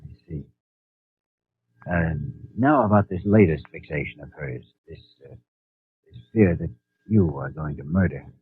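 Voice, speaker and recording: An actor playing a calm, reassuring young hospital doctor in a 1950s radio drama. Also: male voice, a bass voice, unhurried, pauses often. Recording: tinny old radio recording with music.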